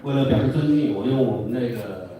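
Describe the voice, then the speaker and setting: A man from China with a Chinese accent, giving a halting formal speech as a company representative. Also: mid-range voice, warm tone. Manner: halting, hesitant delivery. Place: large indoor hotel event room. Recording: very echoey hall, distant mic, faint noise.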